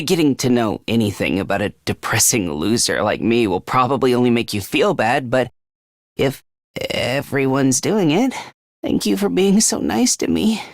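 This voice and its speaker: A man's voice: medium pitch, no room sound, clean studio sound, lightly acted. A man voicing a nervous, self-loathing teenage schoolboy in a video game, with a stammering, hesitant and defensive delivery. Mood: meek, gloomy. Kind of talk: English video game voice acting.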